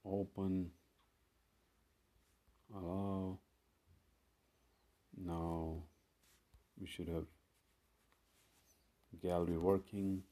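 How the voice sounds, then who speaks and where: Slow, low-voiced man walking through phone steps. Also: little pitch movement, dark tone. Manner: step-by-step, unhurried, plain instructions with fillers. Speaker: tech tutorial host. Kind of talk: screen-recorded phone tutorial.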